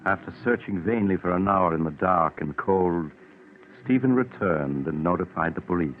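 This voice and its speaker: A man with a deep voice tells a story as a measured radio narrator. The old 1940s recording is narrow and tinny, with light hiss. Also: animated.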